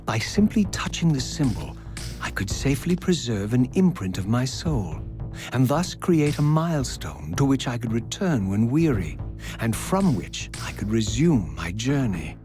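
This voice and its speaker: A man's voice: mid-range voice, sing-song, measured pace. A man playing a solemn, vengeful undead wraith in a video game, with formal, theatrical diction. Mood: solemn, brooding. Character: vengeful, solemn male undead wraith narrating his quest. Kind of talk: scripted dramatic video game narration and dialogue. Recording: background music under the voice.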